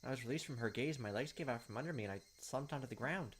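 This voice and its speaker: A man hosting a game video, reading visual novel text aloud with slow suspense and dropping into casual commentary. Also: medium pitch. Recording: clearly noisy home recording, clicks, insect sounds.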